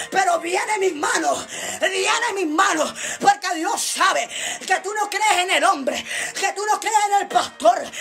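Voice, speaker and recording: A woman with a strikingly high voice preaches a fervent sermon. She declaims in emphatic, repeated phrases, with an impassioned delivery that often climbs toward shouting. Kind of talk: fervent Pentecostal-style sermon.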